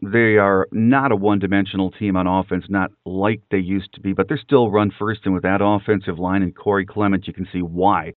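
A male sports podcast host with a deep voice asks questions and gives commentary. He speaks in a measured way with pauses, and the sound is narrow and phone-like. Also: steady tone, no echo.

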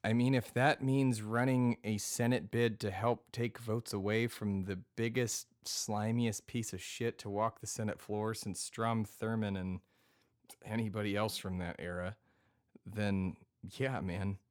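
A man playing a casual, sardonic podcast producer who talks hesitantly and deadpan in a scripted comedy. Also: on the low side, measured pace, natural voice. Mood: earnest.

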